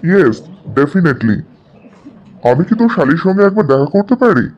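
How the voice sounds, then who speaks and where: Man playing an eager, high-voiced young bachelor. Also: very animated, normal speed. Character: eager, confident young bachelor seeking a bride. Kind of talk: cartoon dialogue. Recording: dull, muffled audio.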